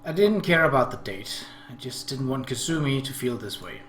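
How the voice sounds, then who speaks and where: A man's voice: medium pitch, expressive, moderate pace, a bit bright. A man hosting a let's play, reading a visual novel aloud and adding his own commentary. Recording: roomy echo, faint background noise.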